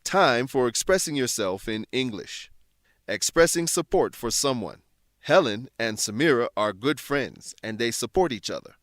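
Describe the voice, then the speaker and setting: Male lesson narrator: mid-pitched, deliberate, instructional scripted read, close dry sound. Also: lively intonation, a bit bright, no room sound. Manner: deliberate, instructional, clearly worded. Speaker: narrator and English teacher.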